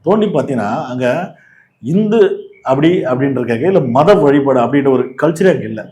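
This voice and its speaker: Fast-talking Tamil Nadu man, argumentative explainer. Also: mid-range voice, expressive, a crowd in the background. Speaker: political commentary host. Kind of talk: casual opinionated political discussion.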